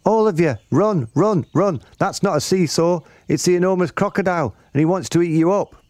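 A man voicing a frantic monkey in a storybook, shouting urgent warnings. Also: high-pitched, brisk, no echo, pitched above the performer's own voice, natural voice. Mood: alarmed, urgent.

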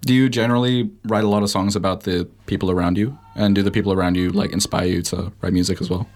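A man's voice: low voice, fairly even intonation, a little mellow. A man interviewing a musician, asking chatty questions full of fillers. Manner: quick, chatty, hedging with fillers.

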